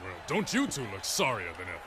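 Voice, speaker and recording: A man's voice: on the low side, wildly swooping pitch, fast, background noise. An actor playing a tough, resigned male warrior in a video game. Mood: grim. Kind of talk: video game dramatic acting.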